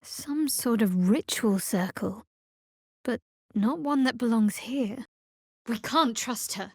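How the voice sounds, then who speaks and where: An actress playing a guarded, devout young woman cleric of a dark goddess in a fantasy video game, with a measured, wry delivery. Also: on the high side, husky, dry recording, studio quality, exaggerated voice. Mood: measured, solemn.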